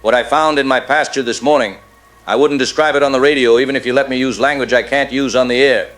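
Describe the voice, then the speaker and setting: A man playing a shaken rural cattle rancher, gravely telling his story as a caller in a radio drama. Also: mid-range voice, fairly even intonation, quick pace, fairly bright tone, faint music behind, close to an everyday voice.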